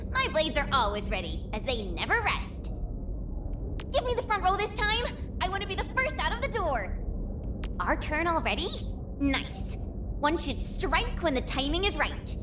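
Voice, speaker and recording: A woman's voice: extremely high pitch, sing-song, a little slow, long pauses, noisy background, heavily acted. A young woman playing an eager, cocky martial-arts sword fighter in a video game, with a peppy, bold, playfully boastful manner. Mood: excited, bold. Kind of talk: video game character voice lines.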